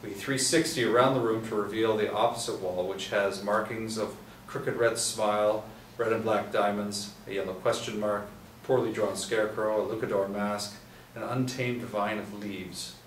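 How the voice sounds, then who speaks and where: Low-voiced man reading stage directions. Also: fairly even intonation, normal speed. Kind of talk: script reading. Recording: roomy echo, distant mic, faint hiss.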